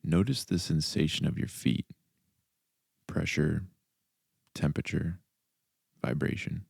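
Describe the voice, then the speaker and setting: A man guiding a meditation as a mindfulness coach, speaking calmly and deliberately in short instructional phrases with pauses. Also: a bass voice, creaky, husky, dry recording, clean studio sound. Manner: calm, deliberate, soft instructional delivery with pauses. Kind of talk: guided meditation narration.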